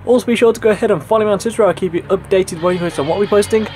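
A young man hosting a casual software tutorial in an informal, instructional way, with a slightly husky voice. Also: sits high, very fast, slight background noise. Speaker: tutorial video host.

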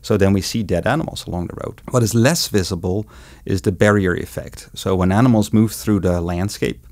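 A Dutch man with a Dutch accent, speaking as an ecologist guest in an interview, explaining things in a measured way. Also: low voice, a little mellow, dry recording, studio quality. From Netherlands. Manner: measured, explanatory, with some restarts. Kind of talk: interview answers.

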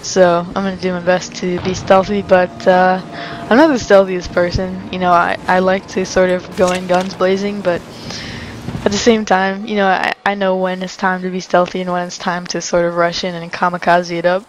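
Young woman rambling thru a let's play, lowish voice, game noise behind. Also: little pitch movement, moderate pace. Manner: chatty, rambling, laughs often. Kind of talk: casual gameplay commentary. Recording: commentary over game sounds.

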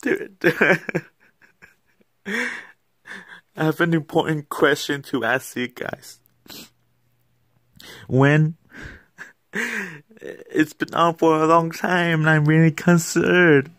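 A man's voice: fairly high pitch, extremely slow, long pauses, a bit bright. A young man with a Mexican American accent, chatting jokily and rambling to the camera in his bedroom, filmed on a phone at arm's length. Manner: rambling, joking, filler-heavy. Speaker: vlogger. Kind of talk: comedic vlog chat. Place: small cluttered bedroom, indoors.

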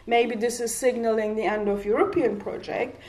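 A woman's voice: fairly high pitch, steady tone, normal speed, a little mellow. A woman in her forties whose first language is Kurdish, speaking on a panel in a community hall with a handheld mic, impassioned, with rhetorical questions and frequent restarts. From Turkey. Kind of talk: public panel talk.

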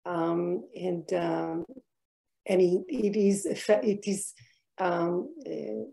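A woman's voice: on the low side, fairly even intonation, slow, creaky, mellow. A middle-aged woman from Israel, an artist answering interview questions over a video call, speaking reflectively with many fillers and restarts. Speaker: artist guest.

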